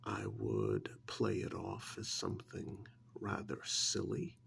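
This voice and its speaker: A man playing a polite, guarded, elderly small-town museum curator in a soft, breathy, hesitant ASMR role-play. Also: deep voice, big pitch swings, a little hiss or hum, exaggerated voice. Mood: confiding, reluctant. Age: older. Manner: hesitant, deliberate, courteous.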